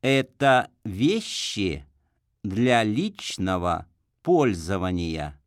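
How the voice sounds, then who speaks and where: A man reads short lesson phrases at a very slow pace, pausing between words before repeating each one whole. His pitch moves expressively, and the recording is dry and close. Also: very animated, no room sound. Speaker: language lesson speaker. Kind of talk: slow, careful phrase reading with repetition.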